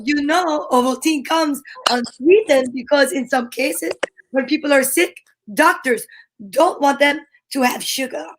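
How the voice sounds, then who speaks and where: Woman playing a high-voiced, upbeat old-time radio announcer. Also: measured pace, background noise. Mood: bright, selling. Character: upbeat radio announcer pitching a chocolate drink. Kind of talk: 1930s radio show announcing, reading a script.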